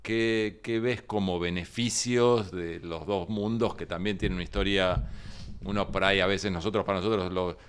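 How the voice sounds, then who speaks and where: A man with an Argentine accent hosts an interview. His voice is fairly low, smooth and clear. He puts his questions in a conversational way. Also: steady tone, moderate pace. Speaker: interviewer, host.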